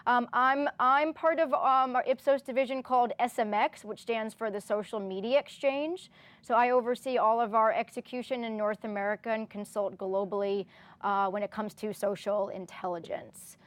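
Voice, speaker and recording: High-voiced woman presenting informally at a conference. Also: fairly even intonation, moderate pace, no room sound. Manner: conversational, frequent "right?" check-ins.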